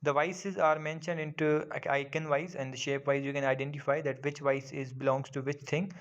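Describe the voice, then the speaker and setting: A man's voice: a little high, level tone, normal speed. A man narrating a step-by-step app tutorial as its host, with repetitive, instructional phrasing. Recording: dull lo-fi voice-over.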